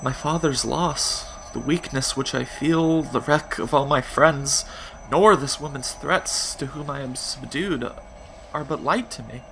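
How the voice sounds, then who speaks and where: A man playing a lovelorn, grieving young captive prince in Shakespearean audio drama, poetic and deliberate. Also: medium pitch, gravelly, fairly bright tone. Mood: dreamy sorrow. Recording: noisy remote home recording.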